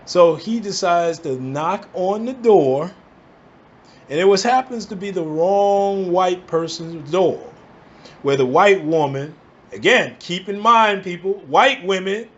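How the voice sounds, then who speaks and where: A man from the Bronx, ranting in emphatic bursts and tossing in "all right?" to keep his listeners with him. His voice sits a touch higher than most men's. The recording is dull and lo-fi. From the Bronx, New York. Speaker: vlogger. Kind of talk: angry commentary rant.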